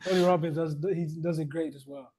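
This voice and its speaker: Brief: male host with a high voice, quick supportive interjections. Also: fairly even intonation, mellow. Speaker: podcast host. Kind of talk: casual interview chat.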